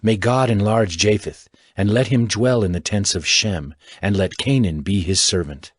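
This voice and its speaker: Noah, a husky, grave old patriarch. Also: male voice, lowish voice, normal speed, no room sound, clean studio sound. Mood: solemn, blessing. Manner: grave, declamatory. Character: Noah, stern old patriarch pronouncing judgement. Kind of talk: dramatised Bible audio reading.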